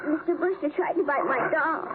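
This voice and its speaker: A woman's voice: high-pitched, a little fast, natural voice. A woman playing a petulant nine-year-old girl in an old-time radio drama, pouty, tearful and emphatic. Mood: upset, angry. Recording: noisy narrow-band old radio recording.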